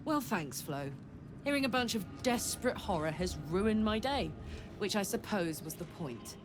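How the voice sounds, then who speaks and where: A woman's voice: medium pitch, lively intonation, moderate pace, over music, natural voice. An actress playing a tough, blunt female fighter in a video game, with a wry, sardonic manner. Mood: bitter sarcasm. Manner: wry, blunt, casual swearing. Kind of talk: video game character dialogue. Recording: constant noisy ambience behind voice.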